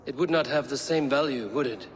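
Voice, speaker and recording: A man's voice: mid-range voice, brisk, slight background noise, close to an everyday voice. Stern, defiant Viking warrior played by a man. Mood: dry. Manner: terse, stern, wry. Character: defiant, grim male Norse Viking warrior. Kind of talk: video game cutscene acting. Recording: music behind the voice.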